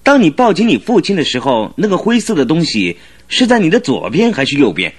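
A man in a Chinese radio drama playing a sceptical prosecutor with a husky voice and pointed, methodical questioning. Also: a little high, wildly swooping pitch, fairly quick, heavily acted. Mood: methodical, pressing. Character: male prosecutor, probing, sceptical interrogator.

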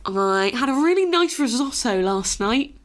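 A woman's voice: a little high, lively intonation, a little slow. A British woman co-hosting a podcast in casual chat, playful and giggly. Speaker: podcast co-host.

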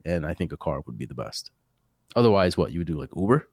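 Deep-voiced stuffy man hosting a podcast. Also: quick pace, breathy edge, no echo, studio quality. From Puerto Rico. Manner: relaxed, chatty, many fillers and restarts. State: stuffy from a cold. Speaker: podcast host. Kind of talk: casual gaming podcast chat.

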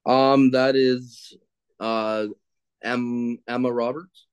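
A man's voice: medium pitch, flat delivery, very slow, clean tone, dry recording. A man guesting on a podcast, chatting casually, searching aloud for answers and cursing at himself when he blanks. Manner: fumbling, self-deprecating, swears when stuck. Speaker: podcast guest, film critic. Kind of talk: casual chat.

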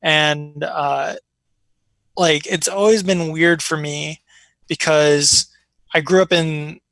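American male guest, higher-pitched, gravelly edge, rambling casual storyteller. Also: fairly even intonation, slow pace, a bit bright, no room sound. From born in Iraq, raised in Chicago, United States. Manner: rambling, informal, frequent filler "like". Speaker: interview guest. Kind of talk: casual conversation, personal stories.

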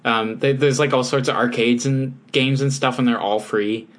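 A man co-hosting a podcast in casual chat, easygoing and halting, with frequent "um"s. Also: mid-range voice, fairly even intonation. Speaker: podcast co-host.

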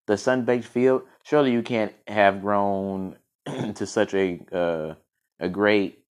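A man's voice: on the low side, steady tone, slow, clean tone. A man voicing a big, fierce falcon in a fable, proud and scornful, with a questioning, mocking way of talking.

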